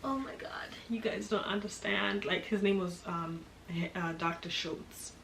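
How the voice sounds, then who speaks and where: A young woman from Kenya telling a casual storytime, chatty and expressive, often laughing. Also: medium pitch, a little slow, creaky. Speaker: vlogger. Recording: echoey room with background noise.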